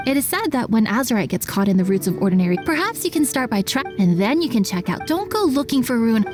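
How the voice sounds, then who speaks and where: Earnest fantasy city organizer, highish voice, lively intonation, polite, explanatory, video game dialogue, background music. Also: female voice, fast talker. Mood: trusting, encouraging. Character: friendly, earnest female lantern-project organizer in fantasy city.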